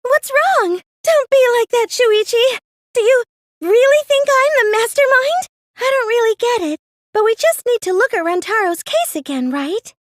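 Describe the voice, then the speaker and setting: A woman's voice: extremely high pitch, expressive, normal speed, dry recording, studio quality. A woman voicing a meek, plain teenage girl with a secret scheming side in an anime video game dub, earnest and girlish in manner. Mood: defensive, flustered. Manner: hesitant and stammering when pressed, earnest, girlish.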